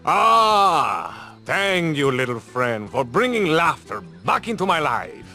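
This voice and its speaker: An actor playing a boastful, pompous champion diver in a video game, haughty, theatrical and condescending. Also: male voice, a little high, very animated, slow, pushed and bright, full character voice. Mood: mocking amusement.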